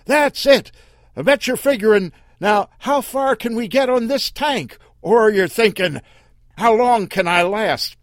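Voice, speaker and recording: A man's voice: high-pitched, a little slow, no room sound, clean studio sound, voice pushed up. A man hollering as a half-blind old desert hermit. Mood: mocking. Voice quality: hollering, cackling, drawling.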